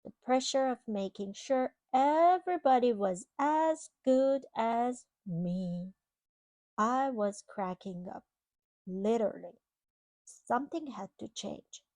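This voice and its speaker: A woman reading a children's picture book aloud, expressively, pausing to explain things to young listeners. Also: on the high side, lively intonation, darkish tone, no room sound. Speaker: children's storybook reader. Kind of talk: read-aloud storytelling to young children with simple asides.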